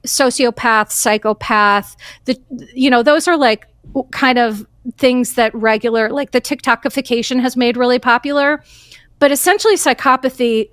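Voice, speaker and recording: A woman's voice: fairly high pitch, fairly bright tone, no echo, studio quality. A woman hosting a podcast, talking in an earnest, emphatic way in casual conversation. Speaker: podcast host.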